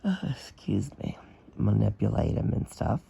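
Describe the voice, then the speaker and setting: A man with a hoarse, damaged voice giving blunt, opinionated commentary in a casual video. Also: on the low side, very animated, normal speed, mellow. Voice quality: damaged, strained voice. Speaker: vlogger.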